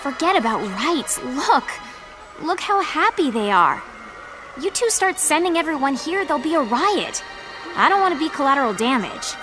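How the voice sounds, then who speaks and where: A woman's voice: high-pitched, sing-song, normal speed. An actress playing a blunt, sarcastic young woman in a video game audio drama. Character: sassy sharp-tongued young woman. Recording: game drama with music underneath.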